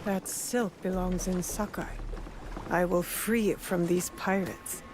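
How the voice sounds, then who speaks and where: An actress playing a determined, guarded undercover avenger, direct and composed, in a feudal Japan video game. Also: female voice, lowish voice, steady tone, slow, background noise. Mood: resolute. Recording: music under the dialogue.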